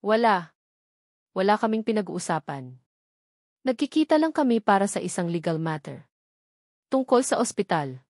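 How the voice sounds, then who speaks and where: A woman's voice: medium pitch, sing-song, moderate pace, pauses often, darkish tone, no echo, studio quality. A woman voicing a jealous, scheming female villain in a dramatic story, with a curt, defensive manner.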